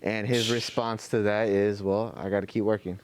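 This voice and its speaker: A man's voice: on the low side, little pitch movement, normal speed. A young man co-hosting a podcast, talking in a laid-back, casual way, swearing and trailing off into "you know what I'm saying.". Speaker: podcast co-host. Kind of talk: casual chat.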